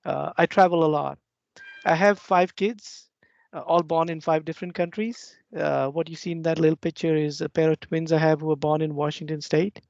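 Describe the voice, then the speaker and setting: A man in his forties from India, a software engineering manager, giving a measured keynote with frequent fillers over a video call. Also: fairly high pitch, no echo. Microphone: video call mic. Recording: dull lo-fi video call audio.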